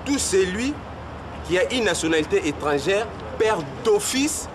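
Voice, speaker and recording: A man's voice: high voice, expressive, a little slow, background noise. A man with a Congolese accent giving informal political commentary, arguing and switching between two languages.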